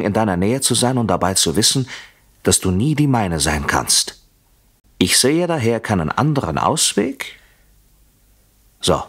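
A deep, husky, coldly polite avenger. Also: male voice, a bit bright, heavily acted. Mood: sardonic. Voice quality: husky, often dropping to a whisper. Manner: mock-courteous, deliberate, menacing. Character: coldly polite, vengeful husband methodically staging a murder. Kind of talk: German radio play acting.

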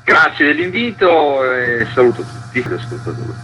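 Interview guest: man, forceful bright tone, explaining at length, slight noise behind. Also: medium pitch, big pitch swings, normal speed, low-quality recording. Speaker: festival curator, interview guest. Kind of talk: radio interview, explanatory talk.

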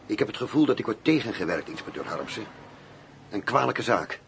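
Radio play: world-weary undercover agent, dry and wry, gravelly, faint noise behind. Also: male voice, lowish voice, sing-song, fairly quick, a bit bright. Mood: measured, concerned. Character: male undercover agent posing as a big gangster boss. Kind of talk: radio drama dialogue.

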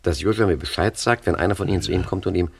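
An actor playing a cool, bossy gangster chief in a radio crime comedy, impatient, wry and colloquial. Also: male voice, low voice, rapid-fire, vocal fry, husky, exaggerated voice. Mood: deliberating.

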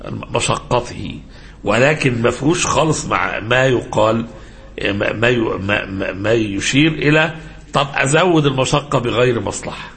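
An Egyptian-accented man giving an informal religious lesson as a sheikh, in a halting, didactic manner that prompts listeners with questions, mixing classical and colloquial speech. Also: medium pitch, vocal fry, fairly bright tone.

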